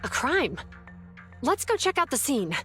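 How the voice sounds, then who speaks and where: A woman's voice: sits high, sing-song, measured pace, fairly bright tone, faint music behind, full character voice. A woman playing an earnest, friendly young public security officer in a video game, speaking sincerely. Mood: friendly, calming. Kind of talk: video game character acting.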